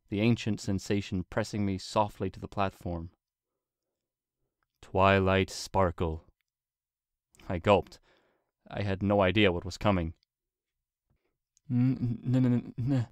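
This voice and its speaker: A man narrating a story in an earnest, deliberate, dramatic reading style. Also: deep voice, darkish tone, no room sound, clean studio sound. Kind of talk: dramatic scripted narration of fan fiction.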